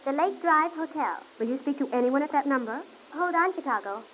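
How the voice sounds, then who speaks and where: An actress playing a brisk, formal switchboard operator in an old radio serial. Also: sits high, expressive, a bit bright. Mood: brisk, efficient. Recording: noisy vintage radio recording.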